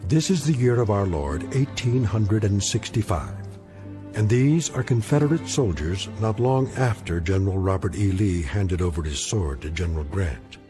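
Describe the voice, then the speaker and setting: An American man giving solemn, measured dramatic narration for a radio play. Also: lowish voice, animated, natural voice. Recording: music under narration.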